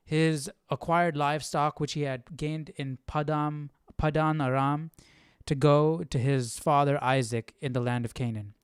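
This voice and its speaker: A man's voice: a little high, steady tone, measured pace, clear, resonant tone, no room sound, clean studio sound. A man hosting a Bible study, reading aloud and commenting casually as he thinks aloud. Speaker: host of a live Bible study.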